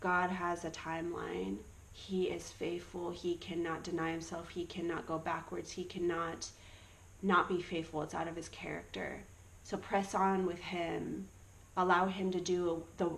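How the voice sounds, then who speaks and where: A woman's voice: lowish voice, flat delivery, normal speed, slight background noise, rough recording. A woman in her early thirties sharing her personal faith testimony in an earnest, encouraging way. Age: 30s. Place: Australia.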